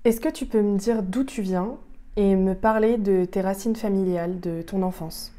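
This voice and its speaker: A woman hosting a podcast, asking calm, even interview questions. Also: medium pitch, moderate pace.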